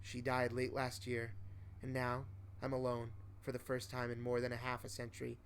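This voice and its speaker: Male horror storyteller, mid-pitched with heavy fry, dramatic and measured first-person read, slight background noise. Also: monotone. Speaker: host reading horror stories. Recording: noticeable faint background noise.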